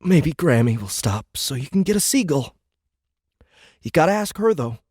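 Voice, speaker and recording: A man voices a young father, tender and quietly sorrowful. His voice is husky and gentle, reassuring a small child in close, dry audiobook drama. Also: a little high, sing-song, moderate pace, dry recording, pitched above the performer's own voice. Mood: sorrowful, loving.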